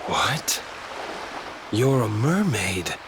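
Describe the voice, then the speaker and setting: An actor playing a terse, guarded man in a video game, speaking in blunt, halting short phrases. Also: lowish voice, big pitch swings, background noise, exaggerated voice. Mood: wary, puzzled. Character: terse, guarded man, grandson of a lost sailor.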